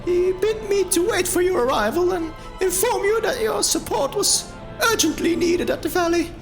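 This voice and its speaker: Man putting on a shrill, squeaky young gnome girl. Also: gravelly, soft background music. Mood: urgent, breathless. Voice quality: shrill, thin. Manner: urgent, halting, repeating words. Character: young gnome girl messenger, breathless, anxious and urgent.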